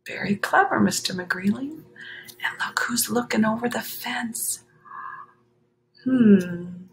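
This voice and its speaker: A woman reading a children's picture book aloud, expressive, with chatty explanatory asides to young listeners. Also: on the high side, takes it slowly, creaky, a little hiss or hum.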